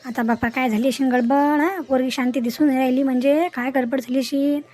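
A woman's voice: high voice, steady tone, fast talker, darkish tone, slight background noise, lightly acted. A woman voicing a fretful, homely village housewife in a cartoon comedy. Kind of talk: Marathi cartoon comedy dialogue.

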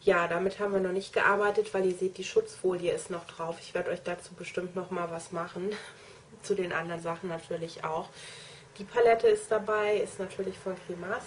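A woman's voice: fairly low pitch, level tone, fairly quick, a little hiss or hum. A young woman chatting enthusiastically to her viewers in a casual show-and-tell vlog, addressing them directly. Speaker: make-up artist trainee showing her kit.

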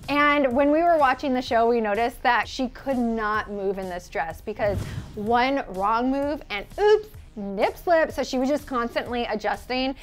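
A woman's voice: a little high, expressive, measured pace. A young woman with an American accent co-hosting fashion commentary in a casual, gushing, emphatic way. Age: young adult. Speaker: fashion show co-host. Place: indoor studio set. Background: music.

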